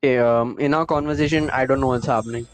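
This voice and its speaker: A man's voice: mid-range voice, fairly even intonation, fast. A man streaming a game live, chatting casually with joking, exclamatory banter.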